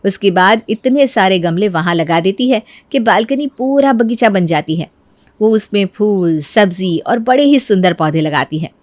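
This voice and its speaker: A woman's voice: medium pitch, dry recording. A woman narrating a scripted family moral story as a storyteller.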